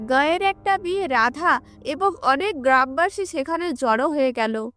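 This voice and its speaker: A woman's voice: high-pitched, expressive, normal speed, a bit bright, soft background music. A woman narrating a scripted cartoon fairy tale as the storyteller.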